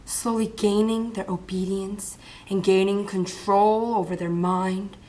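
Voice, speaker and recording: A woman's voice: medium pitch, fairly even intonation, a little slow, darkish tone, a little hiss or hum, natural voice. A woman playing a scheming, power-hungry male vampire count in an amateur dramatic monologue. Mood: menacing, hungry.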